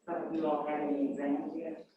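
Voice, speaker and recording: A woman's voice: sits low, little pitch movement, a little fast, heavy reverb, background noise. Woman, 50s, from Illinois, academic advisor, chatty, distant mic, dull lo-fi sound. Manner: chatty, friendly greeter. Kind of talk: casual chat with students before a workshop.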